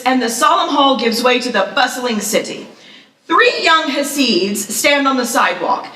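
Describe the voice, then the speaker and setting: A woman with a fairly high voice reads stage directions and announcements at a live event. She sounds some distance from the mic in a roomy, echoing hall. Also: bright, forceful tone. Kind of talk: live event announcing and scripted screenplay narration. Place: live festival table read, echoing room. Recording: echoey hall from far off.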